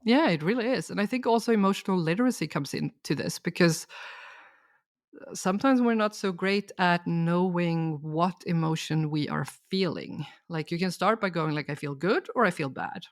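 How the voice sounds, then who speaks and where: Lady, a bit of vocal fry, calm explainer, dry close sound. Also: lowish voice, moderate pace, no room sound. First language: Swedish. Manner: thoughtful, explanatory, steady. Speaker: guest expert. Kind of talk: reflective podcast conversation.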